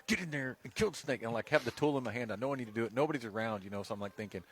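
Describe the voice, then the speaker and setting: A man's voice: mid-range voice, racing, husky. A male radio sports broadcaster, telling stories casually on air and animatedly acting out what people said. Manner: animated storyteller, acts out quotes. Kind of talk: casual on-air storytelling and banter. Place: live high school football radio broadcast.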